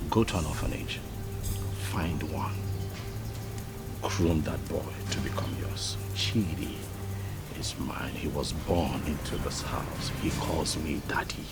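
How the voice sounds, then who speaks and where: A man's voice: very deep voice, vocal fry, heavily acted. A man with a Nigerian accent playing a stern, protective father and head of the house, speaking in commanding, theatrical film-drama style. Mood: menacing, firm. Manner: commanding, theatrical threats in grand biblical comparisons. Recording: loud music under the dialogue.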